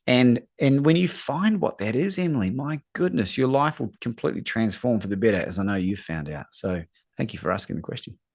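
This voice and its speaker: A man's voice: medium pitch, animated, fairly quick, creaky. A man from New Zealand with a New Zealand accent, speaking as a coach in an interview with an emphatic, earnest motivational delivery. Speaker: guest, courage coach.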